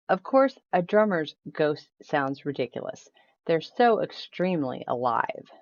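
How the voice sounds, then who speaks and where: A woman reading a ghost story aloud as scripted storybook narration. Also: sits low. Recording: roomy echo, slightly dull sound.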